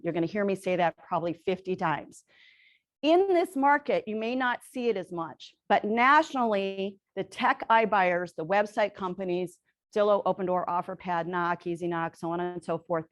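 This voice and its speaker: A woman presenting to a room of real estate agents, energetic and quizzing the audience, who says she is losing her voice. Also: medium pitch. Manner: energetic, asks the audience questions, tags lines with "right?". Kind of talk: sales presentation to a live audience.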